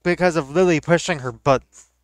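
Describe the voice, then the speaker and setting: Smooth-voiced young streamer, rambling. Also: male voice, a little high, a little fast, no echo. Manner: rambling, repeats himself, curious. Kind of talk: casual chat.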